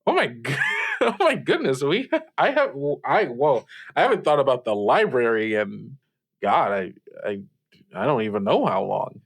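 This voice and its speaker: A man plays an eager high-school radio host in an audio drama. His pitch jumps up and down widely as he stammers along, polite and awkward, quick to get flustered. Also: very animated, slightly rough. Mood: flustered, delighted. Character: eager, awkward teenage boy hosting a late-night radio show. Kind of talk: improvised audio drama as radio call-in show.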